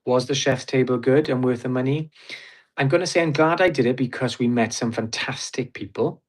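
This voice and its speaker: A man's voice: medium pitch, a little fast, rough recording. A Welsh man chatting as a podcast guest, reviewing a cruise in a blunt, opinionated and enthusiastic way. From Wales. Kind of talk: casual podcast chat.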